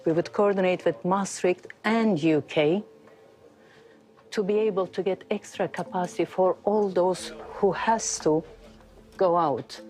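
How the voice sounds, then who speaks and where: A woman giving an unscripted interview explanation as an official, in halting, pieced-together phrases. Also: fairly low pitch, darkish tone. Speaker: air traffic network official being interviewed.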